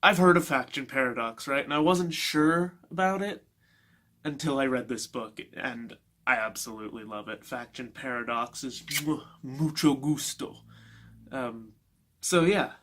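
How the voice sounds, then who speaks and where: A man giving a casual, unscripted book review in an informal, opinionated way, halting as he gathers his thoughts. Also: mid-range voice, a bit bright.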